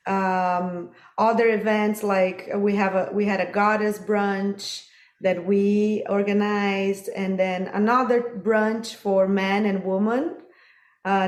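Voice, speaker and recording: A woman, a musician and guest, talking in a halting way with many pauses and fillers. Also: medium pitch, a little mellow, roomy sound. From Brazil. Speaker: guest, musician and sound healer. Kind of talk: casual interview talk.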